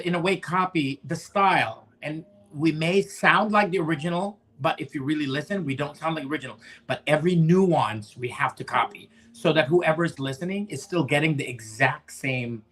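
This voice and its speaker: Bright chatty man in his forties. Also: a little high, husky. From Philippines. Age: 40s. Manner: rambling with many restarts and fillers. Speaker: guest, opera singer. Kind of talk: casual chat.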